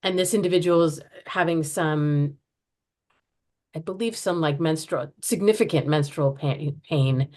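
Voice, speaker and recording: A woman's voice: low-pitched, measured pace, a little mellow. An American woman speaking earnestly as a podcast guest, often restarting and searching for words. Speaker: guest, project founder. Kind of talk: podcast interview.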